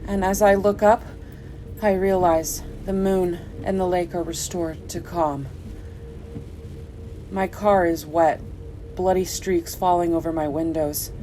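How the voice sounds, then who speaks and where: A woman's voice: fairly low pitch, steady tone, takes it slowly, pauses often, poor audio. A woman playing a guilt-ridden, desperate young mother, narrating a first-person horror audio play in a breathless, pleading, dramatic way. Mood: tense, fearful. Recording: music behind the voice.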